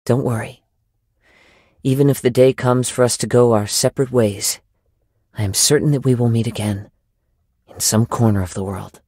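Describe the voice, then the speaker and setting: A young wandering samurai from a video game, gentle and wistful. His voice sits at a middle pitch, with soft, measured, reflective delivery and formal wording. The sound is close and dry, with no room on it. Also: breathy edge, no echo. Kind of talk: video game character voice lines.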